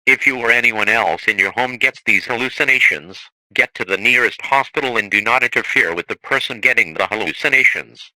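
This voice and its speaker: A man's voice: medium pitch, fairly quick, few pauses, pushed and bright, dry recording, rough recording. A man delivering a formal scripted emergency broadcast, sounding stiff, impersonal and official. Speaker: emergency alert announcer.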